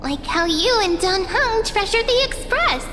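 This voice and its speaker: A woman voicing a devoted, bright young girl companion in a fantasy game, with a light, youthful voice. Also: very high-pitched, expressive, a little slow, background noise. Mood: caring, earnest. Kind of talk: animated video game voice acting. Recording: long reverb, music behind. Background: music.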